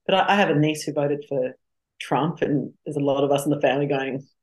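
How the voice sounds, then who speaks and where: A woman's voice: low-pitched, fairly even intonation, fairly quick, a little mellow. A middle-aged woman with an Australian accent, speaking frankly and reflectively as an interview guest. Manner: frank and reflective, laughs easily. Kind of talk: candid interview conversation.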